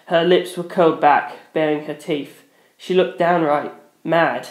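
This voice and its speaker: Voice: mid-range voice, fairly even intonation, unhurried. A narrator reading a dramatic first-person story. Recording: echoey room.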